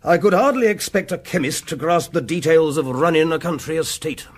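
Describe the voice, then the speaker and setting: A man plays an aristocratic landowner in a period radio drama. His voice sits a little high, with an upper-class English accent. He is pompous and clipped, quick to scoff and condescend. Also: lightly acted. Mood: haughty. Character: haughty, sceptical aristocratic lord of a country estate.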